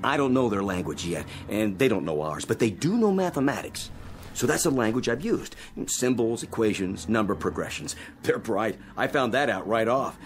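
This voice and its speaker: An American actor playing a cocky, power-hungry astronaut technician in a radio play, gloating and excitable. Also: male voice, fairly low pitch, moderate pace, slight background noise. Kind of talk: dramatic radio play acting.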